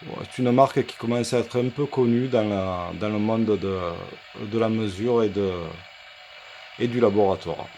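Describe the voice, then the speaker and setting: A man presenting an unscripted workshop demonstration as a repair technician, explaining things in a halting, hesitant way. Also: on the low side, steady tone, warm tone, slight background noise. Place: indoor electronics workshop.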